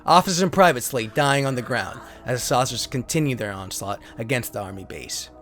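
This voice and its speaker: A man's voice: medium pitch, fairly quick, a bit bright, faint music behind. A man reading a retro sci-fi story as a theatrical, solemn narrator. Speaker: host and reader.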